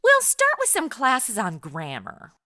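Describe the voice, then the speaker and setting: A woman's voice: a little high, huge pitch swings, a little slow, pushed and bright, no echo, studio quality, exaggerated voice. A woman playing a sarcastic modern woman out of place in the 1890s, quipping in slang in a comedy audio drama. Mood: deadpan quips.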